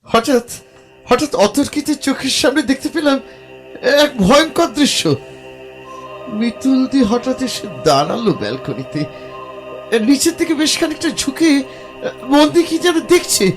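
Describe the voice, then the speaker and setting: Young male, haunted and hesitant, high voice, slow delivery, faint music underneath. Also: animated. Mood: horrified. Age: young adult. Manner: halting, breathy hesitations and repeated words. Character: anxious young man haunted by terrifying visions. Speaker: protagonist and first-person narrator. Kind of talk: audio horror drama acting.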